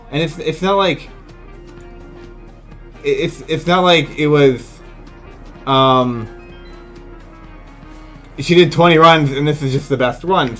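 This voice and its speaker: A man giving casual, incredulous live commentary as a video game streamer, exclaiming and swearing freely. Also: fairly high pitch.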